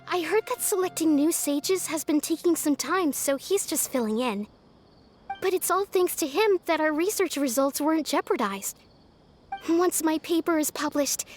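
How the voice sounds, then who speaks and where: A woman's voice: very high-pitched, a little hiss or hum. A woman voicing a young, relieved, hardworking researcher in a video game.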